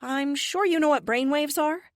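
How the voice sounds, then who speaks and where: A woman voicing a sassy, flippant, theatrically bold American heroine in an audiobook, sarcastic and animated. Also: sits high, normal speed, no room sound, studio quality, pitched above the performer's own voice, close to an everyday voice. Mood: gleeful bluffing.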